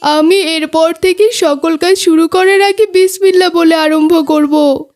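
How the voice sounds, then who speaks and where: A woman's voice: very high voice, fairly even intonation, a little slow, a little mellow. A woman voicing an earnest, apologetic schoolboy in a cartoon, speaking in formal, careful phrases. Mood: remorseful. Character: remorseful, pleading schoolboy who has hurt himself. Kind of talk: cartoon dialogue.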